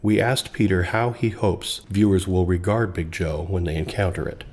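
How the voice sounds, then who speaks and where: Deep-voiced male documentary narrator. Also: normal speed. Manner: even, informative reading. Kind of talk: scripted narration.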